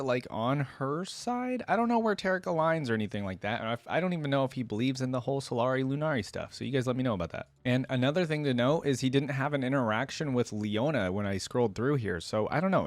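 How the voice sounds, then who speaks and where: A man's voice: medium pitch, dry recording. Casual man reacting as an enthusiastic fan. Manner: enthusiastic, slangy fan talk. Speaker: reaction host.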